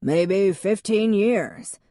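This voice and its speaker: A woman's voice: medium pitch, expressive, very slow, mellow, no echo, studio quality, voice pushed up. A woman reading an audiobook, voicing a matter-of-fact middle-aged man.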